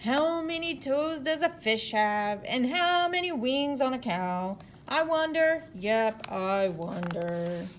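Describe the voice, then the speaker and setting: A woman's voice: high voice, expressive, slow pace, hardly any pauses, slight background noise. A woman voicing a cheerful, oddball penguin in a hearty, blaring storybook manner. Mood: goofy singing.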